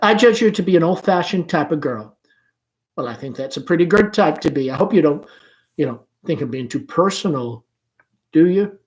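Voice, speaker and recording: A man acts out a confident young gentleman caller in a stage play. He is hearty and gallant, with gentle humor. The pitch sits lifted and the delivery is easy and outgoing. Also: animated, moderate pace, close to an everyday voice. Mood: confident, easygoing. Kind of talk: play read-aloud.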